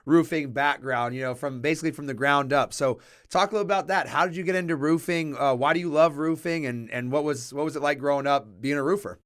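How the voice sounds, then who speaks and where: Laid-back guy hosting a podcast, friendly, kinda rambly questions, a bit high-pitched. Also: fast, a bit bright. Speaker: podcast host. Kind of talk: casual interview chat.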